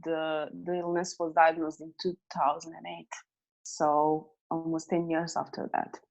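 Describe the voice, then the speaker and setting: A woman with a Serbian accent, a guest telling her personal story in an interview, earnest and halting, with restarts. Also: low-pitched, little pitch movement, darkish tone, lo-fi sound.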